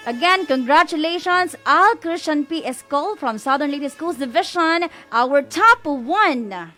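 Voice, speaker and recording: Female awards co-host, Filipino accent, high animated voice presenting placers over clear background music. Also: measured pace. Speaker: co-host of an online awards ceremony. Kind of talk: announcing award winners, congratulatory.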